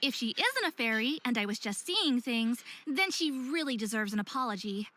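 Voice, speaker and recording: A woman's voice: a little high, big pitch swings, moderate pace, bright, forceful tone, a little hiss or hum. A woman playing a troubled, self-hating young half-faerie in a visual novel, with earnest, emotional delivery. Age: young adult.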